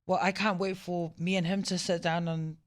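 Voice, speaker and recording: A woman's voice: fairly low pitch, little pitch movement, normal speed. A young woman hosting a casual radio interview, chatty and affectionate, with lots of "like" and "you know.". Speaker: radio show host.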